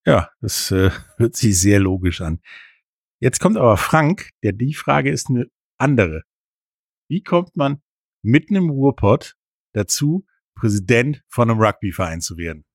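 A man's voice: mid-range voice, very animated, measured pace, no echo, studio quality. A man hosting an interview, asking casual questions with little jokes. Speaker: host.

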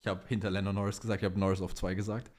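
A man's voice: deep voice, level tone, racing, darkish tone. A young man, co-hosting a podcast in casual banter with slangy phrasing. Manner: slangy, mixes English phrases into German. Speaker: podcast co-host. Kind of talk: casual banter, answering listener questions.

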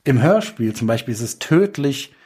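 A man's voice: mid-range voice, expressive, moderate pace, warm tone, breathy edge. A man chatting casually as a podcast guest, explaining his work as a writer with examples. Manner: explains with examples and acted-out quotes. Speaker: guest, audio drama writer.

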